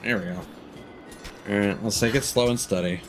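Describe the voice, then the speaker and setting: A man's voice: on the low side, normal speed, soft background music. A man giving casual live commentary as he streams a video game, thinking aloud hesitantly.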